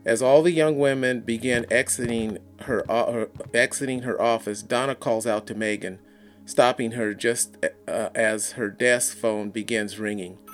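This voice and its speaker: A man narrating an audiobook in a deliberate read-aloud, occasionally stumbling over words. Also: mid-range voice, fairly even intonation, faint music behind. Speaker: audiobook reader.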